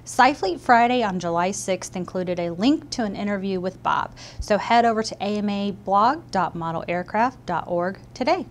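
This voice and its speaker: A woman's voice: mid-range voice, lively intonation, moderate pace, few pauses, slight background noise. A woman co-hosting a hobby news show, reading scripted announcements and bantering in an upbeat, chatty way. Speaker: show co-host.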